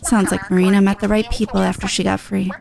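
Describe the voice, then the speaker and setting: Woman doing a low, deadpan game guide. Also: fast, creaky, crowd noise. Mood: calm, explaining. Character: cool, low-voiced laconic young guide, calm and dry. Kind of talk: character reading of video game dialogue.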